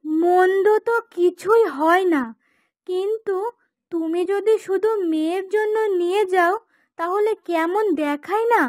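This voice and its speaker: Woman voicing very high, bossy cartoon wife. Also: a little slow, no room sound, studio quality. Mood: persuasive. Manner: pointed, questioning, directive. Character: curt daughter-in-law, or bossy persuasive wife. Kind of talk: cartoon dubbing.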